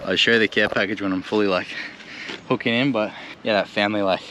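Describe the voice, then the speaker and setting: A man's voice: on the low side, steady tone, quick pace. Laid-back Australian guy vlogging roadside. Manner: chatty, laid-back, lots of "like" and "um" fillers. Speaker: travel vlogger. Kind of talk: casual travel vlog chat. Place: outdoors by a road while driving a tuk-tuk. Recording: outdoor recording with clear traffic noise. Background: traffic and vehicle noise.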